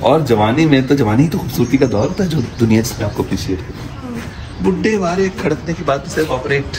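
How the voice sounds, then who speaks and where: A man's voice: mid-range voice, lively intonation, fast talker, over music. A man chatting casually and reflectively, mixing English phrases into his talk, outdoors in a courtyard. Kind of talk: casual chat. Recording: loud outdoor background noise.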